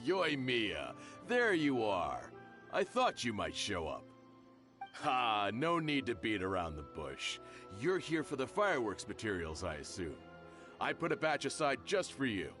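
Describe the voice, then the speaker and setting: A middle-aged shopkeeper from a video game, genial and familiar. His ordinary-pitched voice rises and falls dramatically as he talks. There is music underneath. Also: wildly swooping pitch, measured pace, fairly bright tone. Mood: jovial. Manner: friendly, familiar, insistent.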